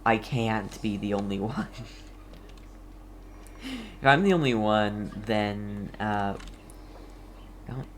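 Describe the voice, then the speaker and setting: Game streamer, slow delivery, casual rambling commentary, chats to viewers, slight background noise. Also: medium pitch, expressive. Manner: rambling, talks to self and viewers. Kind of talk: casual chatty gameplay commentary.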